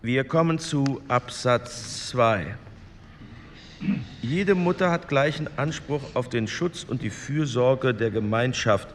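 A man's voice: mid-range voice, slow pace, close to an everyday voice. A man playing an orderly committee chairman, speaking in a formal, procedural way. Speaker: actor in a documentary radio play. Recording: echoey hall with background noise.

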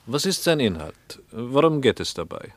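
A man's voice: medium pitch, big pitch swings, moderate pace, no echo, natural voice. Man playing a severe, clipped Soviet official. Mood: probing. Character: stern Soviet official, probing and judgmental. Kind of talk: 1950s-60s radio drama acting.

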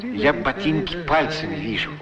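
An actor playing a humble, friendly street shoe shiner, plain-spoken and a little hesitant, in a radio play. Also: male voice, on the high side, very animated, moderate pace, over a noisy crowd. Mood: curious, friendly. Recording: noisy radio play ambience. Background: clear ambient noise.

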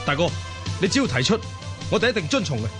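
An eager Cantonese-speaking junior officer played by a male actor rattles out his lines urgently in a fairly high voice. It is radio drama acting, with music clearly playing behind him. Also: very animated, fast. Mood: dutiful, brisk. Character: eager younger brother and officer of a warlord.

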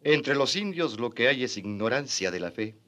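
An actor playing a stern colonial bishop, formal and authoritative, in a radio drama. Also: male voice, mid-range voice, animated, moderate pace, a bit bright, natural voice. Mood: stern courtesy.

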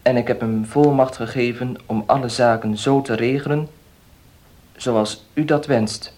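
A male actor plays an earnest nephew in a radio drama. His medium-pitched voice is measured and formal. He sounds solemn, dutiful and defeated. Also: steady tone, a little mellow, lightly acted. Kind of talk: radio play acting.

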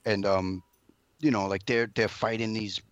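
A man's voice: lowish voice, level tone, a little slow, no room sound, dull audio. A man chatting casually about TV shows, rambling and slangy, with some swearing.

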